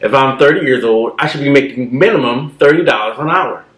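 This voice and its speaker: A man's voice: mid-range voice, brisk. Man in his thirties, casual storyteller, lots of fillers, roomy sound. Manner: relaxed storytelling with many fillers. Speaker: co-host. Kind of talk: casual chat.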